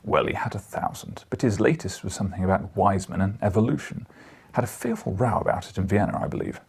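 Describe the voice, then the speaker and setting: A man voicing a gruff, sceptical bacteriologist in an audiobook, dry and blunt in manner. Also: lowish voice, fast. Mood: matter-of-fact. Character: gruff, sceptical male bacteriologist friend at a newspaper. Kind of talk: audiobook character dialogue.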